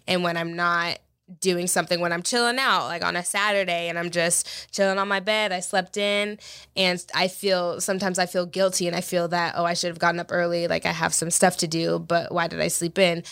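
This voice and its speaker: A stuffy-nosed woman chatting on a podcast, her voice sitting a little low but bright and forceful. She rambles along with plenty of fillers and restarts. The sound is dry and close. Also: little pitch movement, brisk, clean tone, dry recording. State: congested from allergies. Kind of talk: casual chat.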